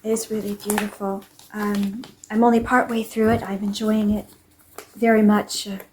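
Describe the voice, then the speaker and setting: A woman narrating a cozy home vlog in a gentle, unhurried way. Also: mid-range voice, flat delivery, a little hiss or hum. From English-speaking country. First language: English. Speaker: vlogger. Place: home in Norway.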